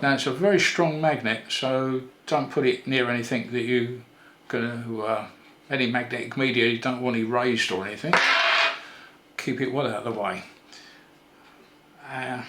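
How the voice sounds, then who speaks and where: An older man giving a casual hands-on repair tutorial, thinking aloud in an unhurried way with pauses. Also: mid-range voice, a bit bright. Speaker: electronics repair presenter. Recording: roomy echo with faint background noise.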